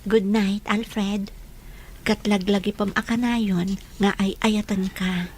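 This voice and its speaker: A woman's voice: medium pitch, steady tone, slow pace, slight background noise, natural voice. A woman playing a sweet, hesitant young woman in love in a radio drama, with a Filipino accent. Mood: tender, earnest.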